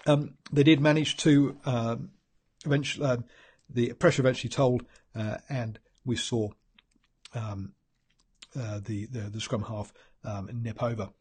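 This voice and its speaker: A man's voice: medium pitch, steady tone, a little slow, darkish tone, husky. A man with a New Zealand accent and a stuffy head cold, giving a rambling solo rugby match analysis full of ums and restarts. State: congested from a cold. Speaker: rugby pundit host.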